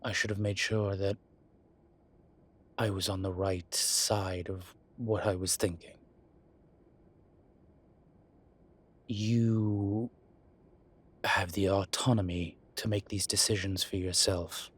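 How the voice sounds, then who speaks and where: A man playing an ancient demon professor who is gruff but contrite and caring, speaking intimately to one listener in a halting, measured, husky voice. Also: fairly even intonation, full character voice. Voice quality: husky, breathy low voice. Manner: slow, halting, measured delivery with sighs.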